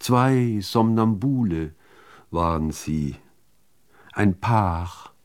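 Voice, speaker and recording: A man reciting literary poetry in a measured, deliberate manner with dramatic pauses between phrases. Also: lowish voice, a little mellow. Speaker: reader of poetry.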